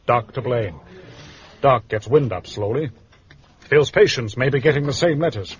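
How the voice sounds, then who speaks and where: A man plays a keen detective inspector in a radio drama. He reports in clipped, slangy bursts with animated pitch, on a slightly muffled recording. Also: normal speed, close to an everyday voice. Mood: brisk, businesslike.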